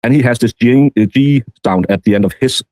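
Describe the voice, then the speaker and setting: A man giving casual, rambling commentary in a halting, meandering way. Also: mid-range voice, darkish tone, no room sound. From Denmark. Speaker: streamer.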